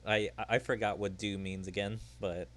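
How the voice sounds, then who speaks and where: A man hosting a gaming video, giving casual, low-key gameplay commentary with rambling asides. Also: level tone, moderate pace, a bit bright. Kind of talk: casual gameplay commentary, reading game dialogue aloud. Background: game music.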